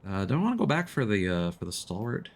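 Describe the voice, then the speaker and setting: Guy streaming a game, super animated casual commentary, goofy and sweary, some game noise behind him. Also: on the low side, fast. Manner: playful, profane exclamations and sound words. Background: video game sound effects.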